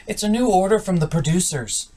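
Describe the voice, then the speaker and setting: A man's voice: high voice, animated, fairly quick, darkish tone, pitched above the performer's own voice, low-quality recording. A man voicing a fussy puppet colleague in a comedy show, prim and scolding about the rules. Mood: prim, apologetic.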